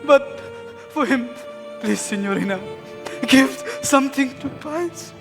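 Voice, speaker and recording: A man's voice: very high voice, a little slow, gravelly, exaggerated voice. A man playing an anxious, pleading young servant. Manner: pleading, repeats "Señor" and "Signorina". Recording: long reverb with music behind.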